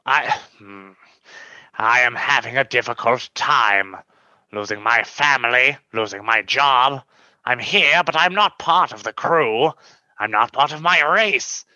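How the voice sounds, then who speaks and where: An actor playing a proud fanged alien scientist in a comedy radio play, speaking in formal, deliberate phrases. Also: male voice, mid-range voice, pushed and bright, no echo, poor audio. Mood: downcast.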